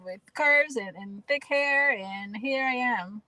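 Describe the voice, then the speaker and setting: A woman's voice: fairly high pitch, slow pace, bright, forceful tone, no echo, low-quality recording. Earnest woman with a Dominican Spanish accent. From Dominican Republic. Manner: earnest, reflective, repeats words while searching for phrasing. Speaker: guest actress and spoken word artist. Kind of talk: radio interview.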